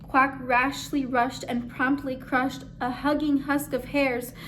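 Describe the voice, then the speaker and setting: A woman reading a picture book aloud expressively, with measured, dramatic phrasing. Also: sits high, level tone, a bit bright, slight background noise. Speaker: story reader.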